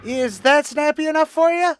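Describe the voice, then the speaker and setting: An actor playing an eager, goofy teenage boy student with a squeaky adolescent voice in a 1950s radio sitcom. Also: normal speed, very noisy. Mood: eager, sheepish.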